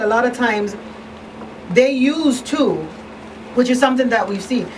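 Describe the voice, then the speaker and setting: A woman hosting an earnest conversational discussion, halting and repeating words as she poses rhetorical questions. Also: mid-range voice. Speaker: talk show host and crisis counselor. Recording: distant mic, clear background noise.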